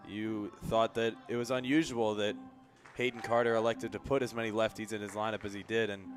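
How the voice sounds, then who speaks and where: A man working as a color commentator, giving conversational analysis during a live baseball broadcast. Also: lowish voice.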